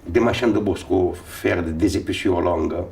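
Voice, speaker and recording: A man's voice: low-pitched, moderate pace, a little mellow. An older man from Tunisia, whose first language is Sicilian, recalls his navy days in a rambling way, often repeating and restarting words. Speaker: interviewee. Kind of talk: recounting memories. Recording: voice a little far from mic.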